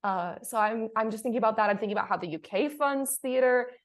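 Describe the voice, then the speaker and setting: A woman's voice: on the high side, fast talker. Woman reflecting aloud in a panel chat. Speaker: panelist, theatre artist. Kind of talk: casual panel discussion.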